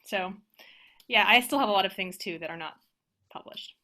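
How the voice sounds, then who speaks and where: Bright-voiced American woman panelist. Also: medium pitch, normal speed. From United States. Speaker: panelist, organist and composer. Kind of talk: casual panel discussion. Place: remote video call. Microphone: video call.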